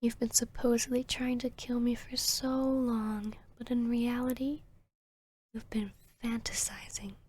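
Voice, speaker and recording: A woman voicing an arrogant, seductive vampiress hypnotist in a whispered ASMR roleplay, with a breathy, smug, teasing delivery. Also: on the high side, darkish tone. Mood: haughty, amused. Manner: slow, smug, teasing, deliberate.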